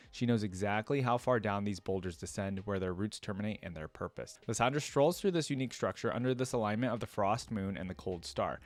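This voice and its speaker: Man, lowish voice, casual reaction commentary, informal read-aloud, dry close recording. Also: dry recording. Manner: informal, uses slang like dude and man. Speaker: reaction video creator.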